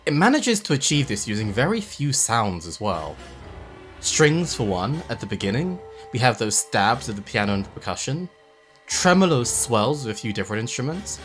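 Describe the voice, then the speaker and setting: A video essay host narrating analysis with casual asides, in an emphatic, conversational way. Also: mid-range voice. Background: music under the voice.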